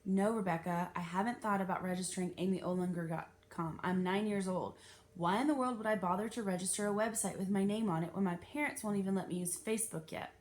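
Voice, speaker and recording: A woman's voice: medium pitch, fast talker, a little mellow, a little hiss or hum, natural voice. A woman voicing a bookish, earnest nine-year-old girl in a storybook, with an emphatic, insistent delivery. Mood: exasperated.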